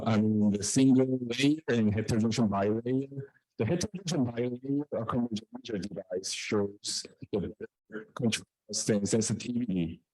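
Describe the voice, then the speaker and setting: A man presenting research in a technical scientific talk, with a halting, hesitant delivery that often repeats phrases. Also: mid-range voice, a little mellow, dry recording, rough recording.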